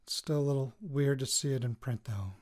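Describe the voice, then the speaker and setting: A man's voice: mid-range voice, fairly quick, darkish tone, lightly acted. Wry, hesitant American radio reporter played by a man. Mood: musing, wry. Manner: hesitant, self-interrupting, wryly joking. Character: rattled, wry young man, radio reporter returning home. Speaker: radio reporter recording audio notes. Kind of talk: audio drama, naturalistic rambling recorded diary.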